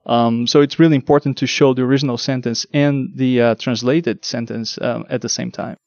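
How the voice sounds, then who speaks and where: A man's voice: mid-range voice, steady tone, fairly quick, darkish tone, no room sound, highs cut off. A man whose first language is Portuguese, explaining technical work as an interview guest, with frequent ums and repeated words. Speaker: guest, translation test lead.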